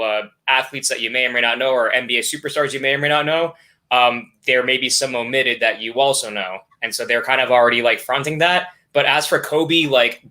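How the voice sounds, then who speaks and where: A man's voice: mid-range voice, sharp tone. A young man from South Florida, a guest streamer talking casually and upbeat with lots of fillers. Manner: easygoing, filler-heavy, upbeat. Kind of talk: casual live stream chat.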